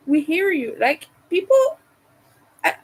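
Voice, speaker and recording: A woman's voice: extremely high pitch, sing-song, slow, poor audio. A woman who is not a native English speaker, chatting casually while she crochets, hesitating and restarting words. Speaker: crochet vlogger.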